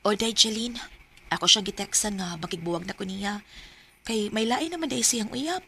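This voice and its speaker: A woman's voice: medium pitch, normal speed, gravelly, bright, forceful tone, breathy edge, heavily acted. A woman playing a stubborn, lovelorn young daughter in a radio drama, with a Bisaya accent. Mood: defensive, surprised. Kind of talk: Bisaya radio drama acting with confiding narration.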